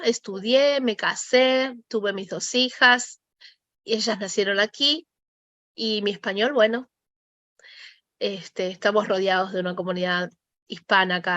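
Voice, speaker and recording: A woman's voice: medium pitch, fairly bright tone, no echo, highs cut off. A woman in her forties from Argentina with an Argentine accent, patiently explaining ideas step by step as an interview guest. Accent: Argentine Spanish. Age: 40s.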